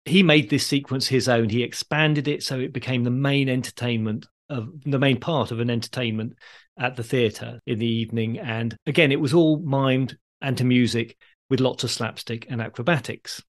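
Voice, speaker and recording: A man hosting a podcast, explaining history in a measured, conversational narrative style. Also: mid-range voice, steady tone.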